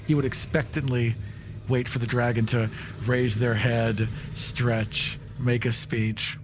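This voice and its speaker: A man's voice: medium pitch, little pitch movement, slow pace, noisy background. Casual man narrating his character. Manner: casual, thinks out loud with fillers. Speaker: player.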